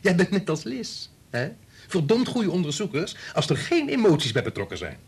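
Husky, domineering scientist, condescending. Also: male voice, high voice, huge pitch swings, moderate pace, full character voice. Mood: scornful. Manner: insistent, condescending. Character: domineering male scientist husband, coolly persuasive, scornful. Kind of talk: Dutch radio play acting.

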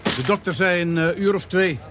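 A man's voice: sits high, moderate pace, lightly acted. A man playing a dutiful police subordinate in a radio drama, reporting factually and deferentially to his superior. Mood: matter-of-fact. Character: dutiful, matter-of-fact male police subordinate. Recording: noisy, vehicle ambience behind.

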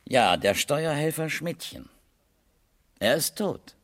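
An actor playing a sober, courteous police captain with a formal, terse manner in a 1970s German radio crime drama. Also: male voice, on the high side, big pitch swings, takes it slowly, dry recording. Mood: grave.

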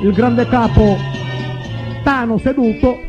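An actor playing a mocking, theatrical announcer on a satirical radio broadcast. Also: male voice, extremely high pitch, slow, muffled recording. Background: music.

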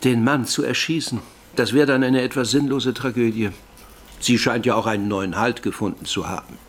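An actor playing a bookish, melancholy doctor with a brittle voice, given to solemn pronouncements in a radio drama. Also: male voice, medium pitch, steady tone, normal speed, close to an everyday voice. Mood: dry, urging.

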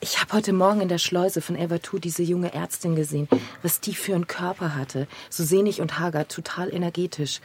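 Eine Schauspielerin spielt in einem Hörspiel eine kühle, schroffe Journalistin, die distanziert und versonnen von ihren Beobachtungen spricht. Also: female voice, on the low side, brisk, hardly any pauses, breathy edge. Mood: absorbed, musing. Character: cool, brusque woman journalist absorbed in her own observations. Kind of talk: naturalistic radio drama dialogue.

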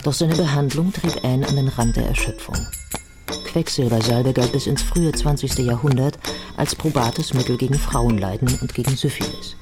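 A man narrating scripted biography in a radio play in a matter-of-fact manner. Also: mid-range voice.

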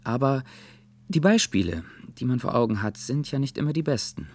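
A man's voice: mid-range voice, very animated, brisk, slightly rough. An actor playing a dreamy, lovesick, polite young man in a radio play, musing and courteous. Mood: feigning casualness. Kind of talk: German radio play acting.

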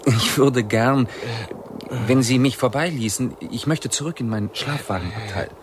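An actor playing a fastidious, intrusively polite gentleman traveller in a German radio play, with a mannered drawl. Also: mid-range voice, slight background noise, lightly acted. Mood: polite, insistent. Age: 30s.

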